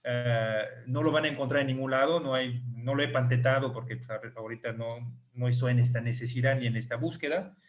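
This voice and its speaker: A male clinic doctor, not a native Spanish speaker, patiently explaining details and slowly repeating numbers on an online group call. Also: medium pitch, fairly even intonation, muffled recording.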